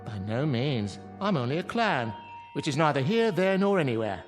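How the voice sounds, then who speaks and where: An actor playing a sardonic, world-weary clown in a radio drama, with florid, grandly mocking delivery. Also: male voice, on the high side, very animated, normal speed, faint music behind. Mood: taunting.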